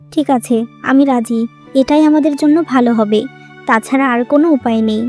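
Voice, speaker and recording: A modern young cartoon girlfriend acted by a woman, blunt and sure of what she wants. Her voice is clearly high, with soft music running behind it. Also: a little fast, lightly acted. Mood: resolved. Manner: assertive, questioning. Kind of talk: dramatic cartoon dialogue.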